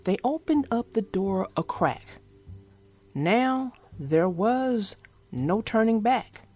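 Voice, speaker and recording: A woman reading a children's book aloud in an animated, expressive, sing-song way. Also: medium pitch, takes it slowly, slightly rough, faint music behind. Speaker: storyteller.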